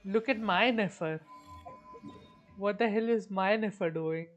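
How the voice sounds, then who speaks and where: Slow-talking woman streaming a game. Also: medium pitch, dull audio, faint music behind. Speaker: game livestreamer. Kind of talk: casual livestream chat while gaming.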